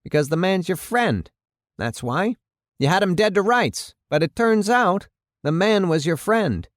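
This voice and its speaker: A man's voice: high voice, expressive, a little slow, no room sound, studio quality, voice pushed up. A man voicing a blustering, angry city mayor in an indignant, hectoring, emphatic way for an audiobook. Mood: accusing.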